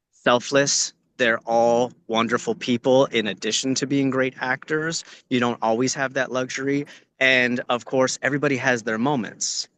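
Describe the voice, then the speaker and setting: A man's voice: medium pitch, bright, forceful tone, clear, resonant tone. An American man from California giving casual, earnest advice as a working actor, repeating phrases for emphasis. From Orange County, California. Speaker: working actor answering questions. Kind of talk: casual spoken advice to acting students. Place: outdoors on location, on a video call.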